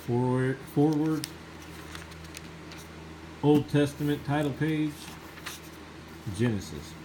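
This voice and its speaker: A man's voice: fairly high pitch, slow, dark tone, a little hiss or hum, rough recording. A man with a slight cold, sniffling a little, giving an enthusiastic, rambling casual review of a Bible.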